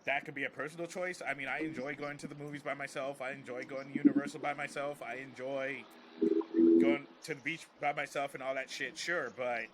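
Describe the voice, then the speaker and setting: A man's voice: mid-range voice, fairly even intonation, a little fast, over a noisy crowd. An American man talking casually and with strong opinions on a podcast, emphatic and repeating phrases as he builds a point. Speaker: podcast host, comedian.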